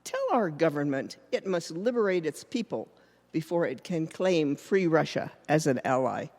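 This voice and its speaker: A woman performs as a proud female suffragist making a public stage speech. She is declamatory and deliberate, with a defiant edge. Long reverb from the hall surrounds her voice. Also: low-pitched, animated, a little mellow. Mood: defiant, indignant. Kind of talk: readers theater monologue, public speech.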